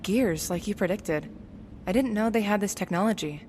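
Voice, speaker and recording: A woman playing a practical, level-headed young explorer in an audio drama, matter-of-fact and measured, in a reverberant space. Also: medium pitch, a little mellow, close to an everyday voice. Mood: focused. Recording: long cave-like reverb, faint noise.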